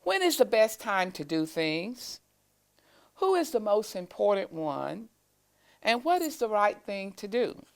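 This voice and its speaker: A woman's voice: medium pitch, very animated, measured pace. A woman voicing an earnest, questioning little boy in a children's storybook. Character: earnest, uncertain little boy seeking answers.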